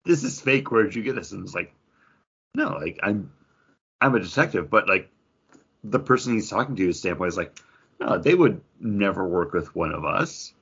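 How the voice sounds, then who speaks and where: A man co-hosting a podcast in casual chat, halting and full of fillers, often acting out imagined lines as he talks. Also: fairly low pitch, lively intonation, vocal fry, fairly bright tone, slightly rough, lo-fi sound. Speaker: podcast co-host reviewing comic books.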